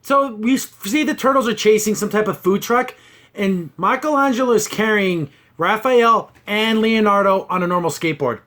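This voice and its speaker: A man's voice: high voice, normal speed, sharp tone. A man hosting a casual podcast review, complaining in an exasperated, mocking way. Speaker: podcast host.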